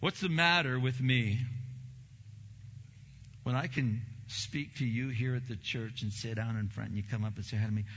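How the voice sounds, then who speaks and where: A pastor preaching a sermon in a church, a man with a big voice who swings from low, slow stresses to loud, emphatic bursts. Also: big-room sound, dull audio.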